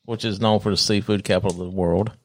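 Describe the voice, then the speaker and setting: A laid-back man with a lowish voice, dropping short, wry lines into the podcast chat. The recording is dry and close. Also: fairly even intonation, quick pace, no room sound. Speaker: podcast co-host. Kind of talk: casual chat.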